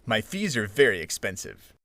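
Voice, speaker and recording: A man giving dry, deadpan hard-boiled detective narration in a comic noir parody. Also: lowish voice, normal speed, no room sound.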